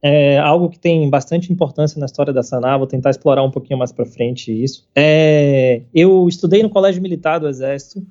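A man, chatting casually as a podcast guest, halting and full of fillers and casual slang. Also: fairly high pitch, dark tone. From Salvador, Brazil. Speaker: startup founder, podcast guest. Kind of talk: casual conversational interview. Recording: slightly muffled, faint background music.

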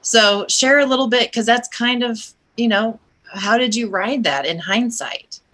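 A woman hosting an interview as a coach, talking in an encouraging, reflective way and drifting lower for asides. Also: unhurried, a bit bright. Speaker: podcast host, coach. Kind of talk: conversational interview.

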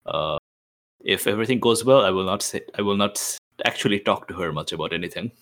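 Hesitant, lower-voiced man playing a tabletop game. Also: quick pace, fairly bright tone. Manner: hesitant, thinking aloud. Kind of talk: casual tabletop roleplay chat.

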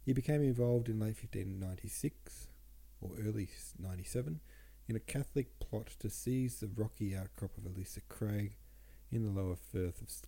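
A man's voice: low-pitched, vocal fry, husky. A podcast host, a man, reading old poems aloud and chatting about them, sniffly and congested. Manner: reads verse aloud with relaxed asides. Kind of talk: casual poetry reading and commentary.

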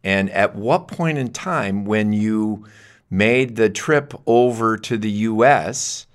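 A man's voice: lowish voice, slow pace. A middle-aged man hosting a podcast interview, talking in a friendly, teasing way. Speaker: podcast host.

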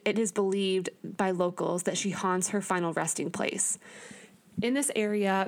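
A woman co-hosting a chatty podcast, reading her notes aloud. She talks at a middle pitch, earnest and plain, with small stumbles and quick asides. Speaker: podcast co-host. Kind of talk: reading research notes in casual chat.

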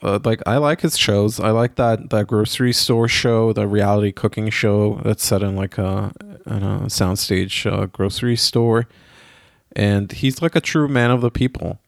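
A man who grew up in Israel, chatting casually on a podcast, pausing often with fillers and restarts. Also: fairly low pitch, fairly even intonation, creaky, no echo, studio quality. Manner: hesitant, many fillers and restarts. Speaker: podcast co-host. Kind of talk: casual chat.

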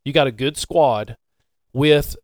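A man co-hosting a casual sports chat, speaking haltingly and often repeating himself. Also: mid-range voice, no echo. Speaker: podcast co-host.

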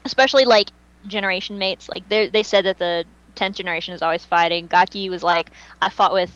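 A woman's voice: medium pitch, steady tone, brisk, fairly bright tone, no room sound, highs cut off. Chatty, opinionated woman in casual talk. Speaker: fan podcast host. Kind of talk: casual fan chat.

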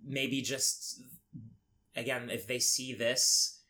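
A young man from Egypt speaking candidly as a podcast guest, in a reflective, halting way with pauses. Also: medium pitch, fairly even intonation, sharp tone. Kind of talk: candid personal interview. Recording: clear background noise.

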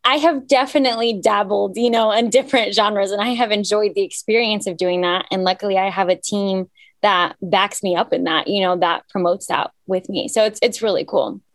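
A young woman from Cyprus tells her story in a chatty, upbeat way, full of "like" and "you know." Her voice sits at an ordinary middle pitch. The recording is close and dry, with no room sound. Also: brisk, no echo. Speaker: singer guest. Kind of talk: casual interview chat.

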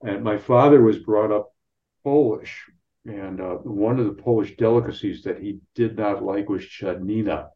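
An older man speaking as an interview guest, explaining and recounting in a conversational, halting way with repeated words. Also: lowish voice. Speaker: guest local historian. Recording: slightly dull, lo-fi sound.

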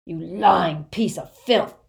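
A woman voicing a cruel male villain wizard with a rough, shouting voice in an audiobook. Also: fairly low pitch, animated, unhurried. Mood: roaring anger.

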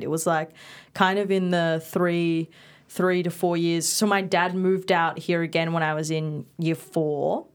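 A young woman from Bali talks quickly and casually as a podcast guest, her speech full of fillers and self-corrections. Her voice sits a bit low for a woman. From Bali, Indonesia. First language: Indonesian and Balinese. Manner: fast, chatty, frequent fillers and restarts. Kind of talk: casual interview conversation.

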